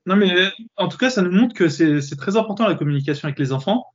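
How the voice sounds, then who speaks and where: A man's voice: high voice, animated, fast, muffled recording. A man hosting a podcast interview, talking hesitantly with many fillers and restarts. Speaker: podcast host. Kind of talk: casual interview.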